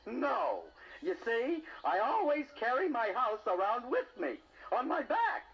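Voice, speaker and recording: Carefree chatty snail, raspy jaunty cartoon voice that bounces around. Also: male voice, very high voice, big pitch swings, measured pace, fairly bright tone, muffled recording, heavily acted. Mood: easygoing, curious. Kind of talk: 1980s children's audio storybook acting.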